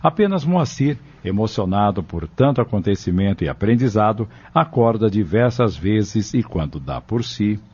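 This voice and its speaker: A man's voice: lowish voice, sing-song, normal speed, few pauses, slightly rough. A man narrating an audio drama from a script. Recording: muffled lo-fi, loud music behind.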